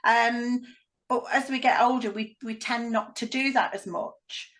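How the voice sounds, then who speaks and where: A woman's voice: a little high, steady tone, unhurried, fairly bright tone. A woman hypnotherapist explaining her view in an earnest, conversational way on a podcast.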